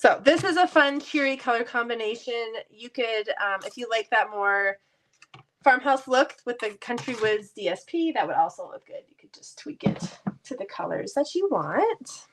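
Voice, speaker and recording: A woman's voice: a little high, lively intonation, moderate pace, noisy background. A woman with a stuffy cold voice, chatting casually and laughing lightly as she demonstrates card making in her basement craft room. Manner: chatty, laughs often, self-deprecating.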